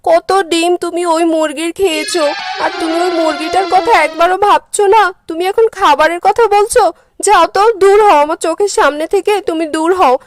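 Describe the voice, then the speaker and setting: A woman's voice: very high voice, brisk, faint music behind. A woman voicing a foolish, melodramatic village wife with a whiny manner in a cartoon. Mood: angry, hurt scolding. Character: foolish, weepy village wife, prone to wailing and scolding. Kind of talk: cartoon character dialogue.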